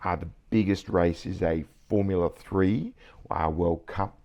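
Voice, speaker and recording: A middle-aged man with a croaky voice, hosting a vlog and talking through industry news quietly at night, with bursts of excitement over the numbers. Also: low voice, unhurried, muffled recording. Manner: keeps voice hushed and quiet; enthusiastic, excitable about numbers. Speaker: CEO and vlog host. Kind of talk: casual news commentary vlog. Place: indoors at night.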